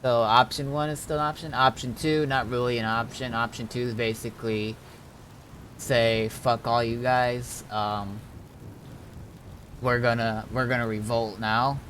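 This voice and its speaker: A man with a mid-pitched voice talks casually to his game stream. He deliberates out loud in halting phrases, mulling over each thought. Faint noise like rain and rumble sits behind him. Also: level tone. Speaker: streamer and roleplay gamer. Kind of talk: casual game stream talk, thinking aloud.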